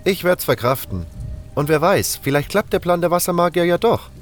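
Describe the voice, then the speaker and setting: A man's voice: fairly high pitch, animated, moderate pace, pitched above the performer's own voice, close to an everyday voice. A narrator plays a young fire mage in a fantasy audiobook. His voice is lifted and friendly, encouraging and loyal in manner. Music and rain run underneath. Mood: reassuring. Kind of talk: audiobook character dialogue.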